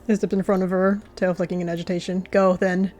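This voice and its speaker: A woman's voice: fairly low pitch, flat delivery, fast, warm tone, faint music behind. A woman narrating a game roleplay as a story, slipping into chatty asides.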